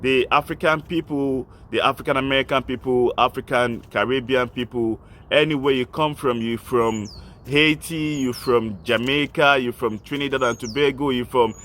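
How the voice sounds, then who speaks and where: A man's voice: mid-range voice, fairly even intonation, moderate pace, fairly bright tone, a little hiss or hum. A man, hosting an online channel, speaking earnestly and directly to his listeners. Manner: earnest, appealing directly to listeners, repetitive listing. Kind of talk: impassioned speech to camera.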